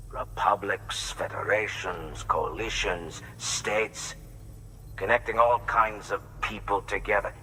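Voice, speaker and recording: Lonely distrustful bunker guy, game cutscene, slow n measured, bit off mic w faint noise. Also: on the low side, pushed and bright, far from the mic, poor audio. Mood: weary, cautionary. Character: wary, reclusive male prepper, lonely and distrustful. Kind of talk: video game cutscene acting.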